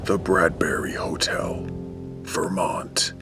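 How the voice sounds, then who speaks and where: Very deep, whispered ominous narrator man. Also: big pitch swings, slow pace, creaky, loud music behind the voice, heavily acted. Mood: hushed, ominous. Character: hushed, ominous scene-setting narrator. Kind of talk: slow scene-setting narration.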